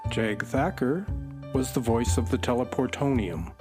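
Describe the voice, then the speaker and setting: A woman reads scripted credits as the announcer of a children's radio show. The delivery is slow and deliberate, and guitar music plays clearly behind it. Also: extremely low pitch, expressive. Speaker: announcer reading closing credits.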